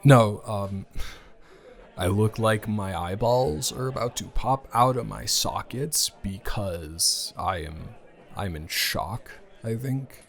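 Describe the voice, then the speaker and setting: A man playing a smitten young man in an improvised romantic audio roleplay, speaking to his casual lover in a hesitant, earnest, halting way. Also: lowish voice. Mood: flustered, surprised.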